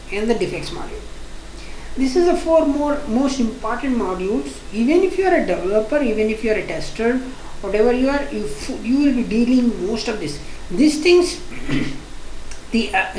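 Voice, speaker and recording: A woman with an Indian accent teaching an online software testing course, explaining quickly and repeating points with frequent restarts. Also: on the high side, slight background noise. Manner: repetitive, restarts often, fast-paced explaining. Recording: echoey room.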